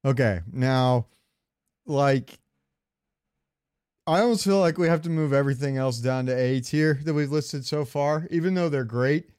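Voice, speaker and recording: A man's voice: medium pitch, a little slow, a little mellow, clear, resonant tone. Man, podcast co-host, clean resonant voice, casual, slangy, profane, gaming talk.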